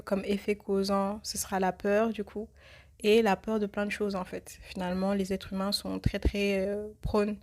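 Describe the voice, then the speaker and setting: A woman with a cold hosting a reflective religious podcast, speaking thoughtfully and pausing often with fillers. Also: mid-range voice, darkish tone. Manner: hesitant, many filler pauses. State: has a cold. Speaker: podcast host.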